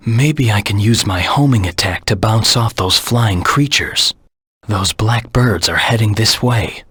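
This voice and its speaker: A cold, determined hedgehog anti-hero from a video game. The voice is low and heavily rasped, recorded dry and close. He talks tersely and seriously, as if weighing each move to himself. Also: pushed and bright, no echo, exaggerated voice. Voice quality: husky, gravelly. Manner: terse, serious, deliberate self-talk. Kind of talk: video game character voice lines.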